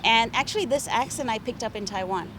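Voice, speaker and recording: A woman's voice: on the high side, quick pace, background noise. A middle-aged woman interpreter from India speaking English with an American accent, chatting at length in an interview and laughing often. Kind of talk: conversational interview.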